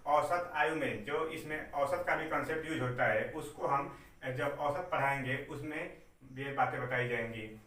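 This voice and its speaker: A man's voice: fairly high pitch, pushed and bright. Young man teaching maths, asks and answers his own questions, recorded from a distance in an echoey room. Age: 20s. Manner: explains step by step with questions. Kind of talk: exam-prep maths lesson. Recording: echoey room, mic far off.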